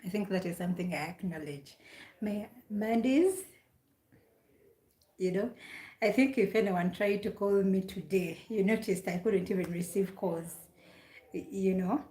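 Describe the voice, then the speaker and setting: A woman's voice: medium pitch, measured pace, long pauses, mellow, roomy sound. A woman from Uganda chatting casually on a live stream, greeting viewers by name and repeating her questions.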